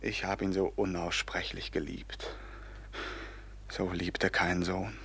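A man's voice: sits low, flat delivery, slow, a bit bright, slightly rough, full character voice. An actor playing an anguished, betrayed young man turned grim robber captain, declaiming with passion in a classic German radio drama. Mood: anguished rage. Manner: declamatory, impassioned, bursting from whispers into cries.

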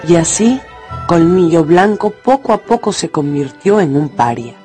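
A man's voice: fairly high pitch, sing-song, unhurried. Man, dramatic scripted narration, radio novel narrator, faint music bed.

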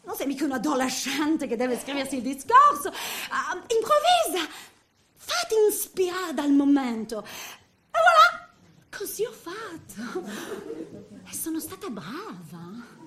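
A woman's voice: sits high, wildly swooping pitch, a little slow, heavily acted. An actress playing a jealous, vindictive middle-aged Frenchwoman with a French accent in a theatrical comic monologue, volatile and unhinged. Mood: brittle self-justification. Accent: French accent in Italian. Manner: volatile, swinging from hushed menace to shrieks.

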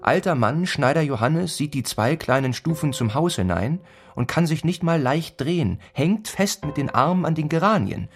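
An actor narrating a grimly comic village tale in a literary radio play, in a rhythmic, repetitive manner. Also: male voice, fairly high pitch, animated, normal speed, faint music behind. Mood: grim, insistent. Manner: incantatory, repeats phrases rhythmically. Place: studio radio play production.

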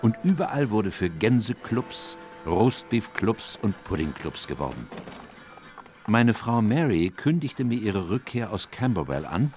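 Casting: thoughtful doctor-narrator, deep voice, measured literary storytelling, music behind. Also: male voice, a little mellow. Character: sober, reflective gentleman doctor narrating his memoir. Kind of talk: radio play scripted narration.

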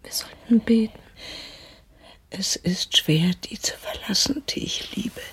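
An actress playing a gentle, pious dying mother in a radio drama, speaking in a weak, halting, breathy whisper. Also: medium pitch. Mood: grave, loving. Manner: slow, halting, tender. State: gravely weak.

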